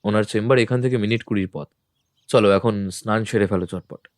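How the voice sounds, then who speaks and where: A man playing a composed, incisive detective in a Bengali audio drama, speaking in a measured, assured, businesslike way. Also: on the low side, steady tone, darkish tone, dry recording, clean studio sound, natural voice. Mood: composed, decisive. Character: composed, incisive male Bengali detective, a self-styled truth-seeker.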